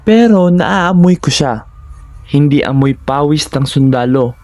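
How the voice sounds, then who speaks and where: A man's voice: fairly high pitch, expressive, unhurried, a little mellow. A Filipino man narrating a first-person horror story in a dramatic, suspenseful storytelling manner.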